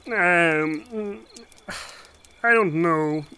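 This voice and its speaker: A woman's voice: lowish voice, pushed and bright, smooth, exaggerated voice. A woman playing a shy, hesitant traveling companion in a fantasy audio drama, with crickets behind. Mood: bashful. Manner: hesitant, drawn-out. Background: crickets and night insects.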